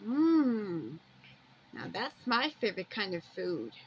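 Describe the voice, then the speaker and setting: A woman's voice: medium pitch, sing-song, takes it slowly, highs cut off, a little hiss or hum. A woman giving a casual amateur video walkthrough, plainly describing pictures and wandering off into personal asides.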